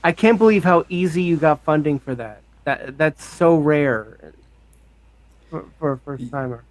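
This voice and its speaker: Playful co-host, a man with a higher voice. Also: measured pace. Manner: playful, teasing and encouraging. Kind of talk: casual interview chat.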